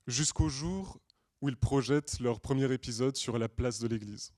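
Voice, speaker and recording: A man from Portugal presenting a prepared film pitch as a storyteller, with deliberate, earnest phrasing and hesitant pauses. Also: darkish tone, dry recording. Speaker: screenwriter and director pitching a feature film. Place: screening room at a film school.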